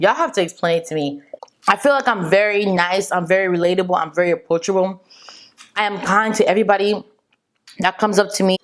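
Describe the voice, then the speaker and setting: A young woman, a French native speaker, chatting sassily with viewers and calling them girl and honey. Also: fairly low pitch. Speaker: beauty vlogger. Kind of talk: casual chat answering viewer assumptions.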